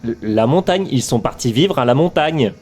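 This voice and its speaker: A man's voice: mid-range voice, animated, moderate pace, a little mellow, faint music behind, natural voice. A man playing a stubborn, optimistic road-trip leader in a comedic audio drama. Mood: matter-of-fact. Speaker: fiction podcast character. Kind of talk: comedic audio fiction dialogue.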